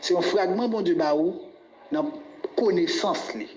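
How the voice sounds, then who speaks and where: A man's voice: high-pitched, lively intonation, measured pace, a little mellow. A man with a Haitian accent teaching the Bible as a pastor, didactic, repeating key phrases and checking that listeners follow. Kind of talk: Bible teaching on spiritual gifts. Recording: dull, echoey room recording with music behind.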